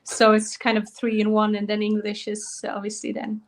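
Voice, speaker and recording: A woman's voice: mid-range voice, monotone, moderate pace. A young woman from Norway speaking English with a Norwegian accent as an interview guest, thoughtfully searching for words now and then. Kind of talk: interview answers.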